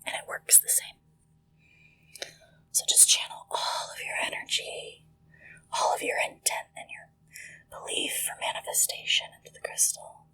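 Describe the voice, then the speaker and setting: A woman whispering gently and deliberately into a close microphone, leading a ritual in ASMR style with hushed pauses. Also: low-quality recording. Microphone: close-up sensitive microphone.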